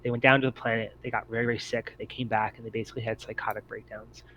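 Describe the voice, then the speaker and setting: A man improvising narration as game master of a tabletop roleplaying game, often halting as he thinks aloud. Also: on the low side, little pitch movement, sharp tone, a little hiss or hum, rough recording.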